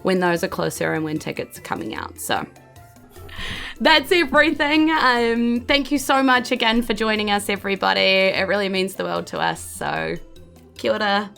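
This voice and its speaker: A woman's voice: medium pitch, normal speed, creaky, fairly bright tone, soft background music. A woman with a New Zealand accent, chatting enthusiastically and casually as a podcast host and game master. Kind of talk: casual podcast outro chat.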